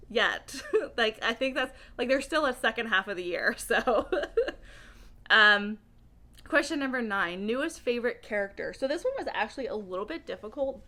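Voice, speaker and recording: A woman chatting casually and informally as a book vlogger, animated and emphatic, often giggly as she talks. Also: fairly high pitch. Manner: giggly, laughs often while talking. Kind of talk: casual chatty video monologue.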